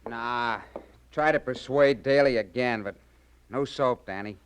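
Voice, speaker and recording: A plain-spoken policeman played by a male actor in a vintage radio crime drama. His voice is clear and resonant, his delivery blunt and informal. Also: medium pitch, unhurried, natural voice. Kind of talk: 1940s-50s radio crime drama acting.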